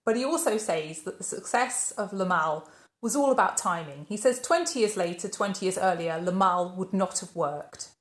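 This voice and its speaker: A woman's voice: medium pitch, animated, moderate pace, echoey room. A woman, hosting her channel and narrating a life story in a scripted way, posing questions and then answering them. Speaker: channel host.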